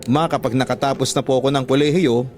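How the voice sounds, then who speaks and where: A man's voice: medium pitch, steady tone, fast talker, a little mellow, natural voice. A man with a Filipino accent playing a dutiful, hard-up young student speaking respectfully in a radio drama. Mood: reassuring. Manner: earnest, respectful. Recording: background music under the voice.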